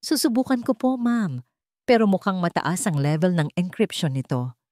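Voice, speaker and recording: A man's voice: high-pitched, big pitch swings, normal speed, darkish tone, dry recording, studio quality. A woman voicing a polite, deferential young male IT expert in a storybook narration. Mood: earnest. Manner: polite, respectful.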